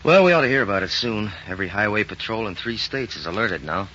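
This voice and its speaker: An actor playing a brisk, businesslike FBI agent in charge of a case, in a 1940s radio crime drama. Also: male voice, fairly low pitch, animated, pushed and bright. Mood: brisk, focused. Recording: muffled old 1940s radio recording, faint hiss.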